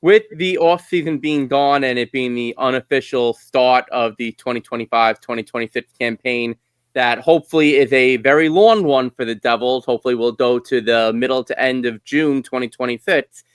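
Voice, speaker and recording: A man's voice: mid-range voice, normal speed, clean tone, no room sound. A man co-hosting a sports podcast in a casual, chatty style. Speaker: podcast co-host.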